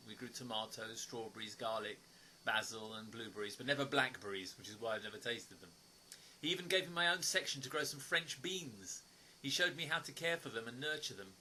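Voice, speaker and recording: An actor playing a polite, wistful writer with gentle wry humour in an audio play, sometimes hesitant. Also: male voice, medium pitch, a little hiss or hum, poor audio.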